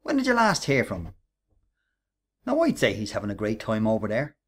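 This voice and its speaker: Man acting a husky bullying Irish workman. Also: on the low side, huge pitch swings, brisk, fairly bright tone, exaggerated voice. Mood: sly, menacing. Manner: taunting, sarcastic, bossy. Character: bullying, scheming Irish workman, menacing ringleader. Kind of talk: radio play acting.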